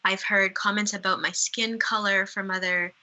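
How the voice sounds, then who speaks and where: A woman's voice: mid-range voice, fairly even intonation, moderate pace, sharp tone. A young woman from Uganda with a Canadian accent, speaking candidly as a guest. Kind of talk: casual conversation.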